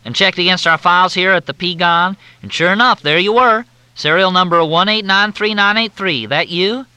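Man putting on a high-pitched Southern major, thick drawl, lively and loud, bright sharp voice. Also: normal speed, clean tone, no room sound, rough recording. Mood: folksy, chatty. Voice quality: thick drawl, everything sounds like a question. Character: drawling Southern army major, overly courteous.

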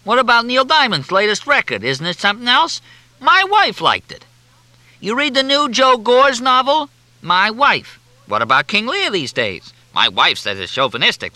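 Man acting an exasperated, high-pitched ranting newspaper columnist. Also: pushed and bright, no room sound, exaggerated voice. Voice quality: talks through a chewed cigar. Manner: badgering, repetitive, crude, rhetorical questions. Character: cantankerous, ranting male newspaper humor columnist.

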